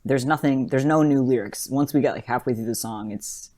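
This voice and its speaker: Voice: mid-range voice, fairly quick, darkish tone. A podcast co-host talking through ideas in a casual, analytical way, earnest and rambling with lots of "you know" and "like".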